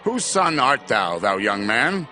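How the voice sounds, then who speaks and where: A man's voice: medium pitch, sing-song, slow, bright, forceful tone, soft background music, heavily acted. An actor playing a commanding king in a dramatized scripture audio drama, emphatic and regal. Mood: curious. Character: commanding king of Israel, grown man.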